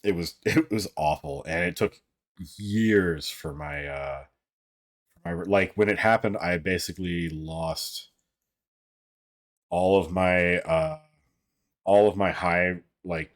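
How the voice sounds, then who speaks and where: A man with a deep, somewhat monotone voice, chatting casually as a livestream host in a rambling, halting way with plenty of swearing. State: voice somewhat out of sorts. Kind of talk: casual livestream chat, reading viewer comments.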